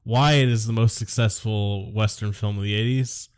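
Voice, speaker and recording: A man's voice: on the low side, fairly even intonation, normal speed, smooth. A man co-hosting a casual podcast, sarcastic and dismissive, swearing offhandedly as he reviews a film. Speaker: podcast co-host.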